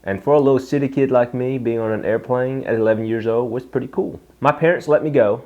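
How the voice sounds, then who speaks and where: A man's voice: medium pitch, highs cut off. A young man from Vietnam with a mixed Vietnamese, Canadian and Southern American accent, earnestly telling his own story as a vlogger. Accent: Vietnamese with Canadian and Southern US English. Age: young adult. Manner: earnest, reflective, with emphatic slow stresses. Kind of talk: personal storytelling to camera.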